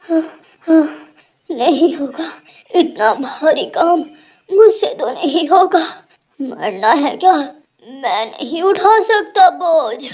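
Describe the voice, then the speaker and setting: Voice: high-pitched, lively intonation, slow pace, darkish tone, heavily acted. An actor voicing a lazy, grumbling male crow husband in a cartoon.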